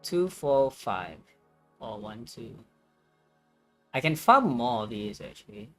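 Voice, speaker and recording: A game streamer giving casual live commentary, thinking aloud in a hesitant, halting way. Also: mid-range voice, sing-song, a bit bright, soft background music.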